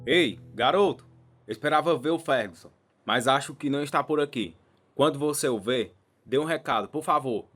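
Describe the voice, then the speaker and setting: An actor playing an arrogant, bullying boxing champion in a radio drama, boastful and taunting. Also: male voice, mid-range voice, normal speed, fairly bright tone, faint music behind, natural voice. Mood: cocky, threatening.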